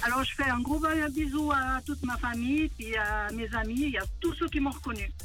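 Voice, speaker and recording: A woman's voice: high-pitched, fairly quick, a bit bright, soft background music. A woman from Portugal calling in to a radio game, answering with hesitant fillers. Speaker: caller. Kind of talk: phone-in chat.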